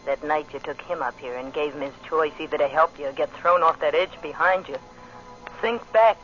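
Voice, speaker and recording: An actor playing a bitter avenger bent on revenge, in an intense, brooding old-time radio monologue. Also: male voice, fairly high pitch, moderate pace, a bit bright, soft background music, close to an everyday voice. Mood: vengeful, intense. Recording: dull, noisy old radio recording.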